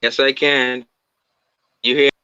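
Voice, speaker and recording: A man from the Bahamas calling in as a guest, speaking politely and haltingly with many fillers over a video call. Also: mid-range voice, flat delivery, pushed and bright, clear, resonant tone, no room sound, muffled recording. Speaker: guest caller. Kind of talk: casual fan chat.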